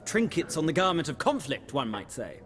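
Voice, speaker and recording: An actor playing a pompous, eager, bookish scholar in a video game, speaking in a florid and theatrical manner. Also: male voice, a little high, sing-song, normal speed. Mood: superior, smug. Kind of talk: video game character dialogue. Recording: crowd chatter behind the voice.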